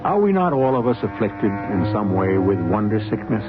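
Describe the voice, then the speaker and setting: A low-voiced man hosting an old radio mystery, delivering solemn, formal scripted narration with lively pitch. Loud music plays behind him, and the sound is narrow and phone-like. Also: moderate pace. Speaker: show host.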